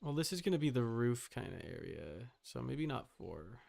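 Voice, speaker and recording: A man's voice: mid-range voice, very animated, moderate pace, gravelly, noisy background. A man hosting a game livestream, talking casually and informally in a rambling way, thinking aloud as he goes.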